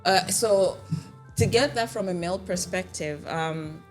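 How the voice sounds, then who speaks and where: A woman's voice: lowish voice, measured pace. A young woman hosting a casual interview, chatty and teasing, with faint music in the background. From Tanzania. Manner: chatty, teasing, laughs easily. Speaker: podcast host.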